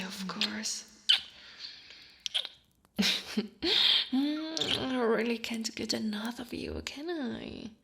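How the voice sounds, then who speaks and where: A woman playing an affectionate, dominant yet gentle female orc royal guard in an intimate, often whispered ASMR roleplay. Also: mid-range voice, gravelly. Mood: whispered, adoring. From Italy. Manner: slow, teasing, tender, often dropping to a whisper.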